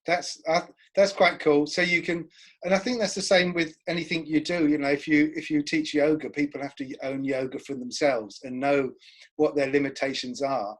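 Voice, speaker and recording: A man's voice: on the high side, fairly even intonation, normal speed. A man chatting casually and reflectively as an interviewer, with informal, loosely phrased remarks.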